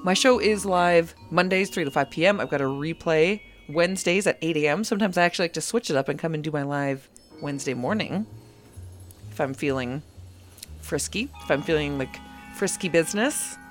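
A woman's voice: low voice, fairly quick. A woman hosts a live community radio show from a small studio, talking into a studio mic. Her delivery is chatty and playful, wandering from one tangent to the next. Faint music sits underneath her voice. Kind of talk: casual live radio chat.

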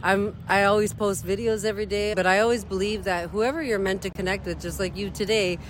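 A woman's voice: medium pitch, fairly even intonation, brisk, a little hiss or hum. A woman from Northern Ontario, an advocate speaking earnestly and plainly in an outdoor interview, with fillers here and there. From Northern Ontario, Canada. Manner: heartfelt, plain-spoken, with frequent fillers. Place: outdoors beside a street.

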